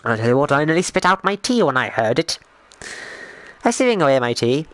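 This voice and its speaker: A man voicing a genteel, bumbling 1920s English gentleman, rambling in old-fashioned posh phrases on a live stream, hesitant and repeating himself. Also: medium pitch, sing-song, fairly quick. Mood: scandalised. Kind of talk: in-character live stream chat while gaming.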